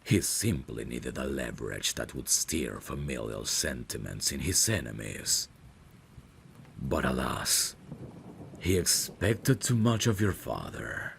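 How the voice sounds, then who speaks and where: A man playing a devoted, courteous vampire butler in an intimate ASMR audio roleplay, speaking formally and deliberately in a gravelly, breathy voice. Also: rumbling low, very animated, a bit bright, slight background noise, exaggerated voice. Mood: grave, sympathetic. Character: devoted, courteous male vampire butler, tender yet menacing.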